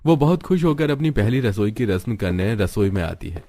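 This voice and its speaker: A man's voice: fairly low pitch, very animated, moderate pace, mellow. A man reading scripted narration for a family cartoon story.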